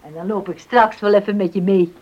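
An actress playing a firm, motherly older village woman in a Dutch radio play, husky and admonishing. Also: medium pitch, fairly even intonation, brisk, dull audio, lightly acted.

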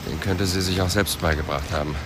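An actor playing a calm, probing police inspector in a German radio crime drama, speaking in a measured, dry, thoughtful way. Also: male voice, sits low, noisy background, close to an everyday voice.

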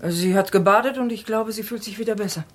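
A commanding woman doctor acted by an actress in a radio whodunit. She reasons in a mid-pitched, emphatic and exact voice. There is faint noise on the recording. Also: fast talker, close to an everyday voice. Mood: imperious. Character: assertive, coolly reasoning lady doctor. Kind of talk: German radio crime drama acting.

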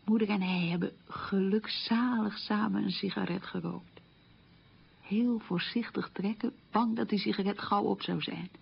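A resilient woman looking back on hard times in a radio play. Her voice is very husky with plenty of creak, and she tells it plainly and without hurry. The recording is dull and lo-fi. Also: mid-range voice, fairly even intonation, measured pace, full character voice. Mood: reflective. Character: resilient woman recalling a poor, hungry wartime childhood. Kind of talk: radio documentary-drama monologue, recounting memories.

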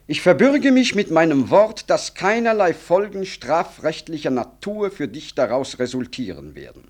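A man's voice: a little high, very animated, normal speed. A self-important statesman in a classic radio play. He is middle-aged and speaks in polished, rhetorical periods. His intonation rises and falls broadly as he justifies himself. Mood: officious. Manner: pompous, formal, rhetorical. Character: self-important male government minister, smooth, self-justifying old friend. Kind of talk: 1960s German radio drama acting.

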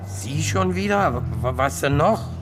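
An actor playing a slow-witted male neighbour in a radio drama, halting and stammering, evasive and defensive. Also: a little high, animated. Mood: flustered, defensive. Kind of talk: German radio drama acting, naturalistic dialogue. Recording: faint music behind the dialogue.

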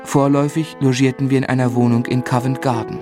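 An actor playing a grieving young husband who tells his life story in a radio play, sombre and measured. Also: medium pitch, level tone, over music, natural voice. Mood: subdued narration. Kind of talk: literary radio play narration and acting.

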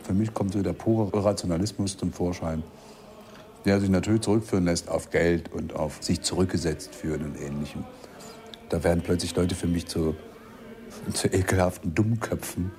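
Low, dark-voiced man speaking bluntly. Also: normal speed. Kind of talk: political reflection, spontaneous interview talk. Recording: faint ambient noise.

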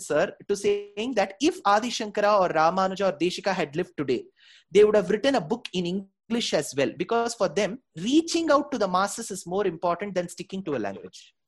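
A woman's voice: mid-range voice, slightly rough. A woman with a South Indian accent, a native Tamil speaker, giving earnest, respectful explanations as a lecturer being interviewed. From Bangalore, India. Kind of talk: interview answers, explanatory talk.

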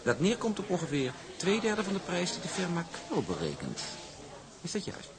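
An actor playing a pompous shipping company envoy in a Dutch period radio drama, formal and wordy, with oily politeness. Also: male voice, high voice, wildly swooping pitch, normal speed. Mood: formal, accusing. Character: smooth, pompous male shipping company envoy, veiled menace.

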